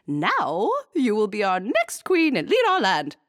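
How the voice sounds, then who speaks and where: A woman voicing a bossy, excitable queen in a storybook, squealing high and loud. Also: unhurried, no echo, studio quality. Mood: gleeful.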